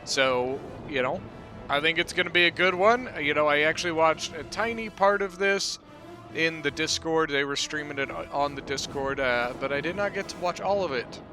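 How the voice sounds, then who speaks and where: Chatty, fairly high-voiced man commentating a battle. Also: moderate pace, music in the background. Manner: relaxed, chatty, peppered with "you know" and "uh". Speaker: gaming channel host. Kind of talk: casual battle replay commentary.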